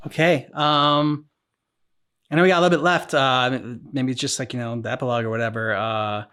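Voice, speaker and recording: A man's voice: mid-range voice, fairly even intonation, brisk, pushed and bright, clear, resonant tone. A man co-hosting a reaction channel, talking casually in a tentative, rambling way.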